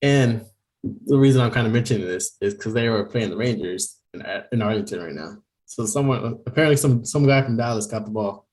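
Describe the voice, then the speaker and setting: A young man with a mid-pitched voice talks through sports news in a loose, rambling way. He laughs often and leans on casual fillers as he chats with his co-host. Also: a little fast, darkish tone, poor audio. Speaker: podcast co-host. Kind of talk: casual chat.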